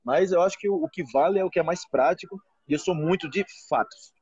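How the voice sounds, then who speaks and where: Brazilian man, 30s, husky, slightly high, muffled phone audio, casual live chat. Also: fast talker. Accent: Brazilian Portuguese. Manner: talkative, animated, keeps asking "entendeu?". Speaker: Portuguese teacher and channel host. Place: restaurant, indoors. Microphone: phone held close, front camera.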